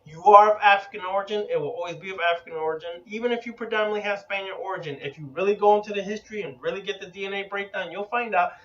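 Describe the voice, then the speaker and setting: Brief: high-voiced man, preachy and profane, muffled lo-fi recording. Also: rapid-fire, few pauses, fairly bright tone, far from the mic. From Puerto Rican heritage. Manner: blunt, profane, preachy, rhetorical questions. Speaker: occult teacher. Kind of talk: profane lecture.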